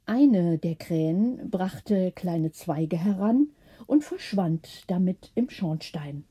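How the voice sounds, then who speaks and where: A woman reads a bedtime story in a very dark, mellow voice with a husky grain. She takes her time, delivering scripted narration as an audiobook narrator. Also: fairly low pitch, animated, takes it slowly.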